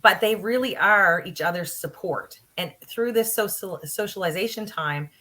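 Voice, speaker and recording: A woman's voice: mid-range voice, moderate pace, pushed and bright. A woman college instructor giving a conference talk in an earnest, practical way, with frequent fillers. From Canada. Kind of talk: conference presentation.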